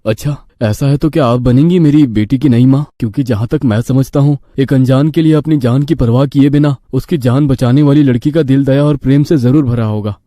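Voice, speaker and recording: A man's voice: mid-range voice, a little fast, few pauses, dark tone, no room sound, clean studio sound, natural voice. An actor playing an earnest, easily frightened young village father, polite and pleading in a dramatic storybook tale.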